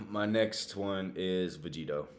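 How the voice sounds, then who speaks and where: A man's voice: low-pitched, slow pace, creaky, a little hiss or hum. A man talking casually and emphatically as a podcast co-host, using plenty of slang and some swearing. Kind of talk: casual chat ranking favourites.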